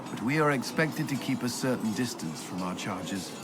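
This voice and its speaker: An actor playing an earnest, reserved military commander and former templar knight in a video game, speaking in a formal, measured way. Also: male voice, mid-range voice, expressive, lightly acted. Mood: measured, instructive. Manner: formal, measured, awkwardly hesitant when flustered. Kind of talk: video game character dialogue. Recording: loud music behind the voice. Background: music.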